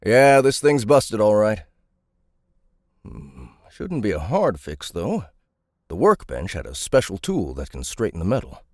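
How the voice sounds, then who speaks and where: An actor playing a gruff, confident mechanic in an audiobook drama, talking in a blunt, wry, offhand way. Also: male voice, on the low side, huge pitch swings, unhurried, a little mellow, no room sound, clean studio sound, heavily acted. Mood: thoughtful, casual.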